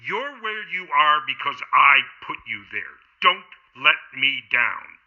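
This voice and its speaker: An actor playing a domineering small-town mayor, commanding and condescending, through a telephone filter in an audio drama. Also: male voice, mid-range voice, big pitch swings, slow pace, vocal fry, heavily acted. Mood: menacing. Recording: thin band-limited phone-like sound.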